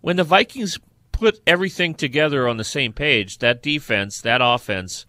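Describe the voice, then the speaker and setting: A man hosting a sports podcast, talking football in a halting way with repeated words. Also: medium pitch, expressive, sharp tone, no echo. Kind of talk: football discussion.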